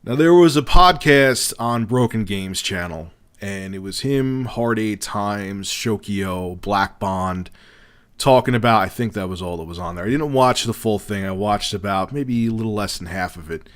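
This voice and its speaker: A man's voice: on the low side, normal speed. A man ranting on his own channel in a heated, profane way, often restarting his sentences. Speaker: YouTuber. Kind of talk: opinion vlog rant.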